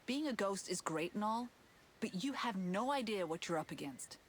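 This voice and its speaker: An actress playing an anxious, guarded company insider in a video game, explaining things earnestly. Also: female voice, mid-range voice, lively intonation, moderate pace, a little hiss or hum, natural voice. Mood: tense. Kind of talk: cinematic game acting.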